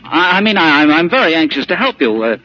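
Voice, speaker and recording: An actor playing a brash, glib and excitable young heir in a stockbroker's office, cocky and sometimes stammering, in 1940s radio comedy style. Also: male voice, on the high side, quick pace. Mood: glib, eager. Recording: muffled, noisy old radio broadcast recording.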